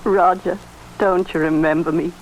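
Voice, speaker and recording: An actress playing an emotional, devoted middle-aged wife in an old radio melodrama, halting and breathless in delivery. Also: female voice, lowish voice, fairly even intonation, close to an everyday voice, rough recording. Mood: overcome, pleading. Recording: hissy old radio drama recording.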